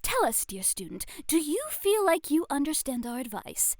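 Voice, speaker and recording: Very high-pitched, playful lady tutor, acted by a woman. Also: big pitch swings, moderate pace, darkish tone, dry recording, clean studio sound. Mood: proud, instructive. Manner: theatrical, coy, grandly formal phrasing. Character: playful, eccentric, elegant female flirtation tutor. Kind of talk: ASMR roleplay acting.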